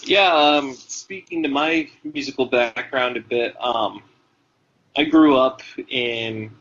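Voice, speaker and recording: Man from Tennessee, husky voice, rambling and hesitant, dull lo-fi recording. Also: mid-range voice, takes it slowly, fairly bright tone. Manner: rambling, hesitant, many ums and restarts. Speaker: guitarist in a band, guest. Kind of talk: casual interview answers. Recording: dull, lo-fi sound.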